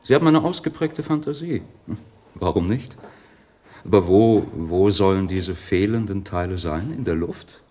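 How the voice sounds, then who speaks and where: An actor playing a skeptical, down-to-earth doctor in a radio drama, dismissive and matter-of-fact. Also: male voice, deep voice, expressive, moderate pace, darkish tone. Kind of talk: German radio drama acting.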